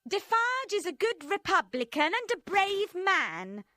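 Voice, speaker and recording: A woman voicing a cold, vengeful female revolutionary in an audiobook, speaking slowly and emphatically. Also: extremely high pitch, big pitch swings, pushed and bright, no echo, voice pushed up, full character voice. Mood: ominous.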